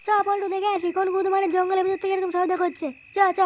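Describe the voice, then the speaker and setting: A man voicing a cocky, pushy gang member who curses brashly in a comedy cartoon, with an artificially pitched-up voice. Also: completely flat delivery, racing, background noise. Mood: cocky, urging. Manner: brash, slangy cursing.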